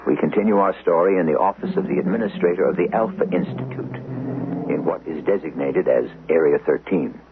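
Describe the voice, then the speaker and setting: A man's voice: sits low, lively intonation, fairly quick, noisy background. A man hosting and narrating a 1970s radio mystery, with a portentous, rhetorical scripted delivery. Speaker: radio drama host and narrator. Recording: muffled, humming old radio broadcast.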